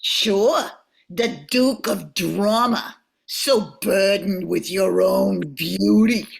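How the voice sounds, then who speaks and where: A woman's voice: mid-range voice, extremely slow, creaky, full character voice. An older woman playing a lovesick, sarcastic nymph in a radio play, with a nasal New York accent.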